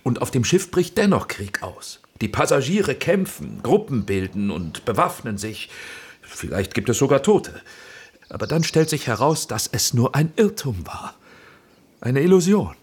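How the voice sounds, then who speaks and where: An actor playing a courtly, flattering film director in a radio drama, speaking theatrically with dramatic pauses. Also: male voice, mid-range voice, husky, exaggerated voice. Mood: intense, visionary. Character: Austrian male film director, courtly, flattering, persuasive visionary.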